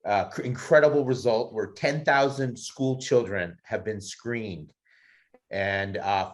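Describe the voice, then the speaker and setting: A man introducing a colleague on a video call, enthusiastic and full of fillers. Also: lowish voice. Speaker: co-host introducing the guest. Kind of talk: warm introduction.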